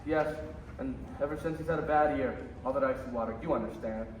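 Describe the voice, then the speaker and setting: A man playing a calm, authoritative senior angel in a staged radio play. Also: medium pitch, fairly quick, lightly acted. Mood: explaining. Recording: echoey stage from far off, noisy.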